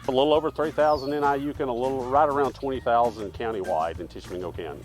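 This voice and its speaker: A man's voice: mid-range voice, fast, a little mellow, soft background music. A man, a town mayor being interviewed outdoors in a park. He talks in a friendly way and often repeats words as he starts a phrase.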